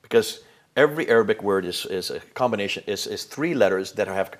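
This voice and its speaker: A man's voice: lowish voice, animated, fairly quick. Emphatic man lecturing with rhetorical questions. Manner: frequent fillers and restarts. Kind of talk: explanatory lecture to an interviewer.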